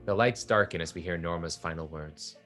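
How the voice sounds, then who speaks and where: Male narrator for a play's stage directions, very low pitch, faint music beneath. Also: a little fast, a bit bright. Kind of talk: scripted narration of a stage play.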